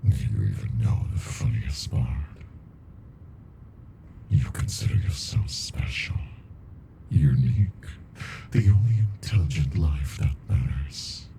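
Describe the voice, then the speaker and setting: A man voicing an ancient, arrogant captive alien in an ASMR monster roleplay, murmuring with slow, amused menace and condescension in a deep, gravelly voice. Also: sing-song, dark tone, slight background noise, full character voice. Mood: menacing, teasing.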